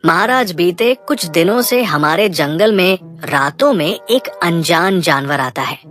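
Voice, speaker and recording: A woman as a low-toned, deferential boar minister. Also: lively intonation, a little slow, fairly bright tone, faint music behind. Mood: respectful. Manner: respectful, obliging, reporting. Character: deferential male boar, minister to the jungle king. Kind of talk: Hindi cartoon moral story acting.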